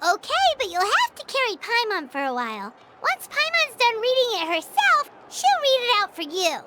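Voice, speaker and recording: A woman voicing a small, chirpy, curious fairy-like girl companion in a video game, with a squeaky childlike voice. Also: wildly swooping pitch, normal speed, heavy background noise, heavily acted. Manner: refers to self in third person, perky. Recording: music behind the voice.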